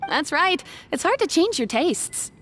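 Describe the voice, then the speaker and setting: Game adventurer: young, chirpy, high-pitched, animated, sociable. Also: female voice, measured pace, a little hiss or hum, exaggerated voice. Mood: cheerful. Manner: exclamatory, upbeat. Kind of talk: video game voice acting.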